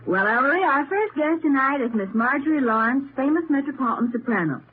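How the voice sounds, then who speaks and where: A woman's voice: sits high, expressive, fast talker. A woman reading scripted guest introductions in a 1930s radio style. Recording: tinny, noisy 1939 radio broadcast.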